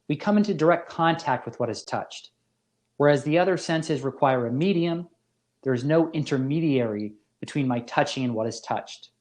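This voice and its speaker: A man giving a scripted academic lecture as a philosophy professor, in a measured, formal manner. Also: on the high side.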